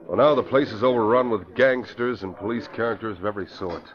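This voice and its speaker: An actor playing an earnest, indignant police commissioner, in an old radio drama. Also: male voice, lowish voice, fairly quick, natural voice. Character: middle-aged man, indignant police commissioner. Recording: dull lo-fi old radio recording.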